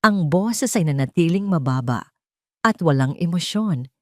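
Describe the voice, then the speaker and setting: A woman's voice: on the low side, animated, unhurried, no echo, studio quality. A woman with a Filipino accent narrating a scripted romance drama as a storyteller.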